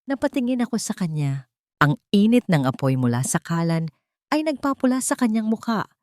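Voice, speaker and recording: A woman's voice: mid-range voice, sing-song, normal speed, a little mellow, no room sound, clean studio sound. A woman reading a scripted dramatic story as an audiobook narrator.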